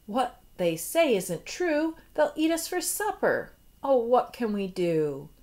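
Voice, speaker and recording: A woman voicing worried, excitable little monkeys in a children's storybook, exclaiming dramatically. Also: fairly high pitch, takes it slowly, voice pushed up.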